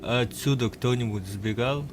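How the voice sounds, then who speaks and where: A man playing a defiant prisoner in an animated film. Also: medium pitch, measured pace, a little hiss or hum, natural voice. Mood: quiet, resolute.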